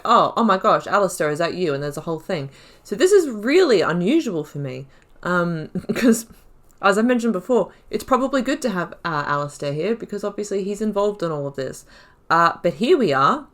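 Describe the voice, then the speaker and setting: A woman giving casual let's play commentary, chattily thinking aloud and reading dialogue choices. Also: lowish voice. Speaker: gaming video host.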